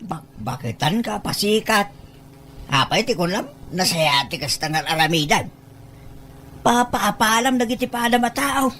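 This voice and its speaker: A woman's voice: medium pitch, animated, unhurried, fairly bright tone, a little hiss or hum. A woman playing a cautious, easily embarrassed older relative in an audio drama, hesitant and mildly scolding. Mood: reproving.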